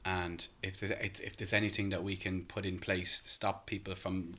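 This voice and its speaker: A man with an Irish accent and a deep, low voice, chatting as a guest on a podcast. He talks casually, stopping often to say um and restart his sentences. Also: little pitch movement, normal speed, sharp tone. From Ireland. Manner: hesitant, many ums and restarts.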